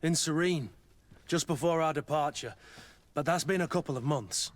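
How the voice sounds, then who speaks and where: A man voicing a gruff, loyal mercenary captain in a video game, speaking bluntly and dryly. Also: on the high side, normal speed, lightly acted. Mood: wry, businesslike.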